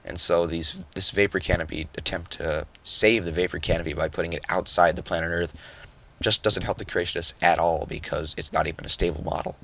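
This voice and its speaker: A young man hosting a solo podcast, nasal and a bit hoarse after yelling the day before, explaining things casually with fillers. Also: deep voice, fairly even intonation, a little fast. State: hoarse from yelling, just woke up. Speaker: podcast host.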